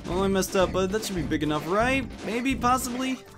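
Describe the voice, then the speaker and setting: A young man giving casual, animated commentary as the host of a video game let's play. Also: sits high, fairly quick, music in the background.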